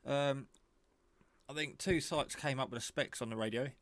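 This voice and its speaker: A man's voice: medium pitch, normal speed, a bit bright, no room sound. A man giving a casual, rambling, informal show-and-tell of a piece of hobby gear. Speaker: radio hobbyist showing a handset.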